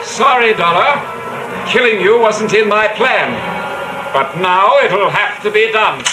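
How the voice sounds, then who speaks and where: An actor playing a cold, menacing doctor villain in a 1950s radio drama. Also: male voice, high-pitched, expressive, over music. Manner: slow and menacing. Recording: echoey old radio transcription.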